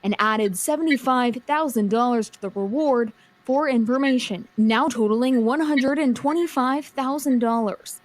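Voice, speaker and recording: A woman's voice: on the high side, dry recording. A woman reporter delivering scripted news narration in a formal reading style.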